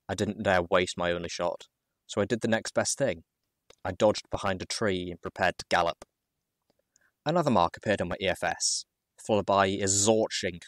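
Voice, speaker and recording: A man reading a first-person story aloud as a dramatic audiobook narrator, with measured phrasing and deliberate pauses. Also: low voice, a bit bright, no echo, studio quality.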